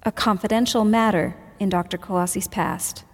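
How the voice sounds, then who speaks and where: A woman's voice: medium pitch, steady tone, normal speed, close to an everyday voice. A woman playing a guarded, candid witness under questioning in an audio drama, answering tersely and carefully. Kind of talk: fiction podcast audio drama. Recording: echoey hall with long reverb.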